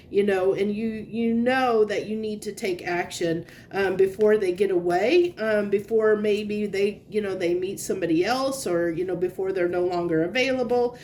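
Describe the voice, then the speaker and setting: A woman's voice: medium pitch, a little mellow, slight background noise. A woman with a congested, sniffly voice giving a casual tarot reading to camera. She meanders and restarts as she searches for words. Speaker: tarot reader.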